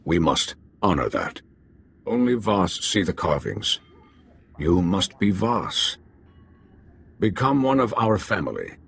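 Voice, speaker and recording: An actor playing a commanding alien family elder in a video game, with terse, formal declarations. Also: male voice, sits low, sing-song, slow, vocal fry, long pauses, a bit bright, slight background noise, exaggerated voice. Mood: grave.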